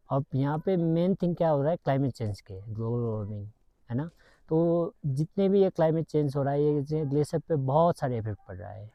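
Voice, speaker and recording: A man's voice: on the high side, no room sound, muffled recording. A male mountaineering instructor explaining to camera, adding tag questions as he goes.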